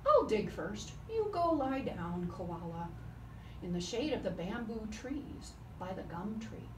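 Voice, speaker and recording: A woman's voice: mid-range voice, sing-song, slow pace, a little mellow, echoey room, distant mic, slight background noise, rough recording. A woman voicing a kind, hardworking male kangaroo who speaks politely and coaxingly in a folk tale. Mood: comforting.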